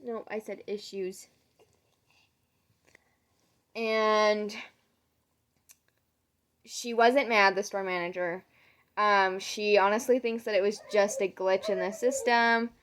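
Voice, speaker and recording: A young woman vlogger with a hoarse, nearly lost voice, casually telling a long story to camera with lots of "um"s. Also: medium pitch, fairly even intonation, takes it slowly, pauses often, a bit bright. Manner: rambling, many "um"s, retells conversations. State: losing her voice, sore throat.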